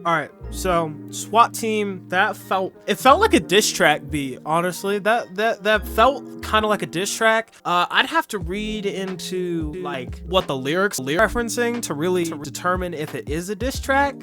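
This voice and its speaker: A man's voice: high-pitched, animated, moderate pace, few pauses. A man reviewing an album in a casual, rambling way with lots of fillers, sounding a bit sick. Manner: rambling with many fillers and restarts. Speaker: music reviewer. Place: bedroom. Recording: music playing behind.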